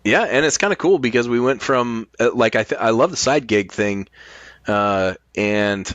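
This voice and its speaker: A man's voice: mid-range voice, animated, moderate pace, a bit bright, no echo, muffled recording. A man in his late forties chatting casually and candidly as a podcast guest, swearing freely. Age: late 40s. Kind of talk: casual chat.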